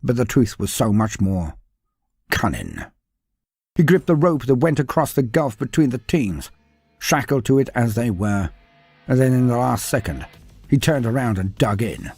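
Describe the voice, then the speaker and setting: A man reading a scripted story aloud with dramatic, expressive delivery. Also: mid-range voice, moderate pace, breathy edge, no room sound, faint music behind. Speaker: narrator.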